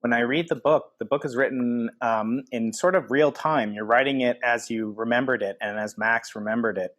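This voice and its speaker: A man's voice: medium pitch, steady tone, moderate pace, a bit bright. Earnest man hosting a podcast interview. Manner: earnest, hesitant, many fillers and restarts. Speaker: podcast host.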